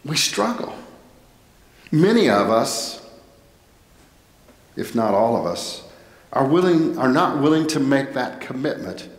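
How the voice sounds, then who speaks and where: American pastor preaching in an echoey church. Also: male voice, a little high. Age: middle-aged. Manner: deliberate, short phrases with pauses. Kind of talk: sermon. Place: indoor church, at a pulpit. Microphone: headset microphone. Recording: echoey church with long reverb.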